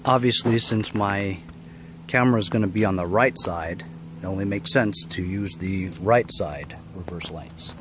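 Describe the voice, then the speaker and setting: Male DIY host, lowish voice, informal and halting, explaining as he works, with clear background noise and insect chirps. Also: fairly bright tone. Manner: halting, thinking aloud, often tagging "you know". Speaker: host of a DIY repair vlog. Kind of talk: casual hands-on tutorial narration.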